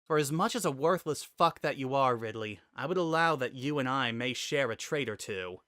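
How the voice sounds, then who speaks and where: Man acting a defiant warrior woman, raised pitch, sharp edge, bitter, scornful, impassioned dramatic reading. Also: lively intonation, normal speed, no echo, studio quality. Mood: grudging contempt. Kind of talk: dramatic reading of fan fiction.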